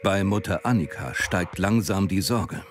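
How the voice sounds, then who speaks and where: A man's voice: sits low, normal speed. A man reading scripted, dramatic narration for a medical documentary. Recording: prominent music behind the voice-over. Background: music bed.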